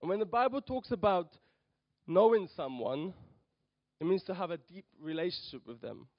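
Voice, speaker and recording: A man's voice: high voice, lively intonation, normal speed, dry recording, muffled recording. A young man from Poland, brought up in London, giving a hesitant sermon in church with many ums and restarts. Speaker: lay preacher. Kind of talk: nervous church talk.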